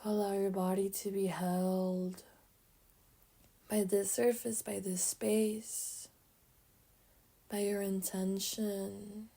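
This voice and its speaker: A woman guides a meditation at a very slow pace, in short, gently placed phrases. Her voice is mellow and a little creaky, with soft, breathy endings that trail off between pauses. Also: medium pitch.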